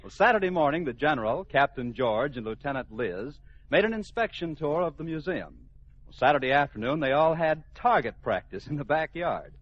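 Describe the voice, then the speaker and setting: A man's voice: a little high, sing-song, normal speed, husky. An American man narrating a mid-century radio comedy as its announcer, polished and mock-dramatic in a scripted style. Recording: muffled old-time radio recording. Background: music.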